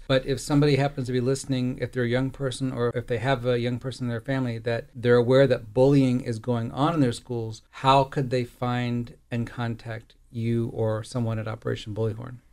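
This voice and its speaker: Even-toned male interviewer. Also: mid-range voice. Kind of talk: formal interview questioning.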